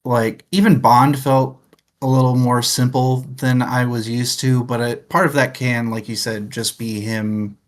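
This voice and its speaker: A man's voice: medium pitch, flat delivery, measured pace. A man co-hosting a book club podcast, talking casually and conversationally as he sums up his thoughts.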